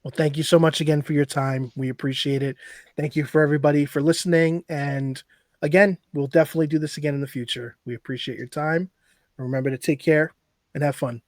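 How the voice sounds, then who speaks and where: Easygoing male podcast host, higher voice. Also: steady tone, a little fast, no echo, clean studio sound. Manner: easygoing, appreciative wrap-up talk. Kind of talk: casual interview chat.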